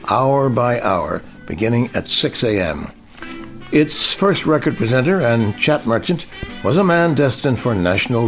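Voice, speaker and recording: An older man, a veteran broadcaster, reading a scripted radio feature in a measured, polished way. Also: medium pitch.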